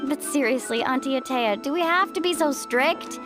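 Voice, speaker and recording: A woman's voice: sits high, moderate pace, over music, close to an everyday voice. A woman playing a cheerful, confident, outgoing young guide in an anime-style video game, chatty and upbeat. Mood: explaining.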